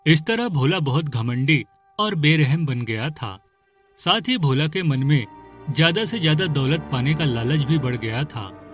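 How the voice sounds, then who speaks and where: A male narrator tells a scripted cartoon tale. His voice is a little higher than average, and music plays behind him. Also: lively intonation, a little slow.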